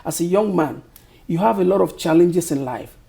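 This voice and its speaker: A middle-aged man from Cameroon with a Cameroonian accent, answering interview questions slowly and thoughtfully, often searching for words. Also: sits high, animated, creaky, mellow, breathy edge. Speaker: author guest.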